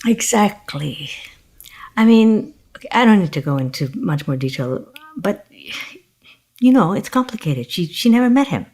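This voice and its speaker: An actress playing a middle-aged American single mother in an audio comedy, flustered, awkward and polite, with a halting, hesitant way of talking. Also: mid-range voice, very animated, darkish tone. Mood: hesitant, anxious. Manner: halting, hesitant, self-correcting. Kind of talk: naturalistic comedic audio play acting.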